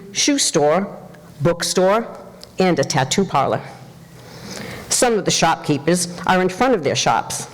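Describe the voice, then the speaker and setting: A woman reading scripted stage directions as narrator at a live staged reading. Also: fairly low pitch. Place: indoor performance hall, live reading. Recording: live hall with long reverb.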